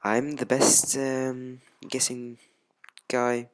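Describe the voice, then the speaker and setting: A man's voice: mid-range voice, monotone, drawn-out words, smooth. A young man from Sweden with a Swedish accent, rambling hesitantly through casual let's play commentary with many ums. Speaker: gamer. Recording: music playing behind. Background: music.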